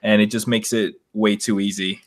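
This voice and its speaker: A man co-hosting a podcast, talking casually and a bit haltingly about games and movies. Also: lowish voice, level tone. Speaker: podcast co-host.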